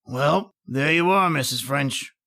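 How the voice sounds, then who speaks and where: A man's voice: on the high side, a little slow, bright, forceful tone. An actor playing a matter-of-fact male investigator in an old-time radio thriller, knowingly explaining a crime.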